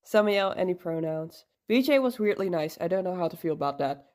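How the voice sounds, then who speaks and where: A woman's voice: lowish voice, animated, fairly quick, darkish tone. A woman giving casual fan commentary in a listener voice submission.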